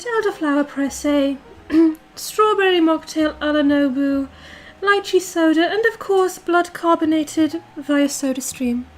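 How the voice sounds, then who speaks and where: A woman's voice: very high-pitched, a little hiss or hum, rough recording. A British woman playing a bossy, condescending aristocratic girlfriend called a duchess, speaking imperiously to her livestream viewers. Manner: imperious, pompous, condescending, drawn-out emphasis. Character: haughty, bossy aristocratic dominant girlfriend calling herself Duchess. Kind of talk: in-character roleplay livestream, reading and answering chat.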